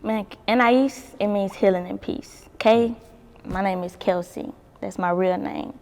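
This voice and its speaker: Gravelly-voiced young woman. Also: mid-range voice, fairly even intonation, unhurried, a little mellow, big-room sound. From Baton Rouge, Louisiana. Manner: laid-back, swears freely, laughs often. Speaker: singer, interview guest. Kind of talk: casual interview chat.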